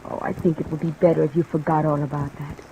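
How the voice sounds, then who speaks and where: An actress playing a gentle, guarded woman with a troubled past, soft and earnest in 1950s radio style. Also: deep voice, level tone, quick pace. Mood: tender, coaxing. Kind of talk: 1950s radio drama acting. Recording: muffled old radio recording under rain noise.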